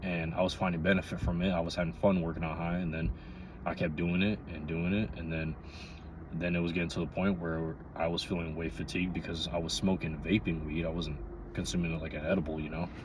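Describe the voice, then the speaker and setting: Very deep-voiced young man rambling candidly. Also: flat delivery, fast talker. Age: 20s, twenty-three. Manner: rambling, candid, frequent "you know". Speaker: vlogger. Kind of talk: casual confessional monologue. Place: inside a parked or running car. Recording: noisy, vehicle rumble inside car.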